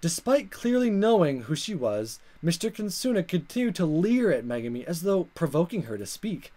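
A man reading visual novel text aloud as a let's player, in expressive narration. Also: on the high side, normal speed. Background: game music.

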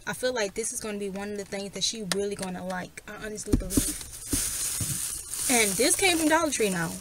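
A young woman talks casually and softly, as if to a friend, in a parked car. Her voice sits in a middle range, quiet by nature. A steady hiss of background noise runs under the phone recording. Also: fairly quick. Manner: soft-spoken, low and quiet. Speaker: vlogger. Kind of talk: casual vlog chat.

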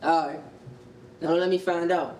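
A man plays an easygoing young American uncle in a staged reading, teasing and slangy with a soft heart. His voice sits a bit high, and the hall sounds roomy and distant. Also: steady tone, measured pace, close to an everyday voice. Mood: joking, grumbling. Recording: roomy hall, distant mic, faint noise.

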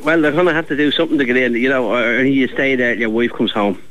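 A man's voice: mid-range voice, fairly even intonation, quick pace, fairly bright tone, slight background noise. An Irish man chatting casually on a phone call, helpful and easily amused as he gives advice. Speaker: chimney sweep taking a phone call.

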